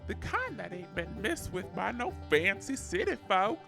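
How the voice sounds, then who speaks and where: A woman's voice: mid-range voice, big pitch swings, normal speed, a bit bright, soft background music. A woman voicing a folksy, sinister troll butcher with a rural Southern American accent in a parody commercial. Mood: folksy sly. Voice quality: creaky.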